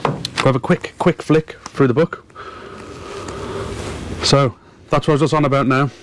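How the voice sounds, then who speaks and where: A man's voice: mid-range voice, steady tone, a little slow, slight background noise. A man talking casually to viewers as a boating vlogger, earnest and emphatic, often repeating words to stress a point.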